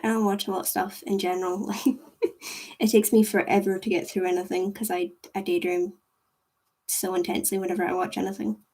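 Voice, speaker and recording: A woman's voice: on the low side, little pitch movement, a little fast, a little mellow. A young Scottish woman chatting casually with her viewers, rambling and giggling, full of "like". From Scotland. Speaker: livestream host chatting with viewers. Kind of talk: casual chat.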